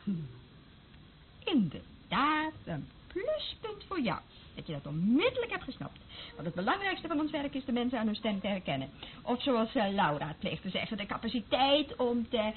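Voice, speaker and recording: An actress playing a blasé surveillance worker in a radio drama, speaking in a dry, didactic way. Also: female voice, a little high. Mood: condescending. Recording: tinny old radio recording, faint hiss.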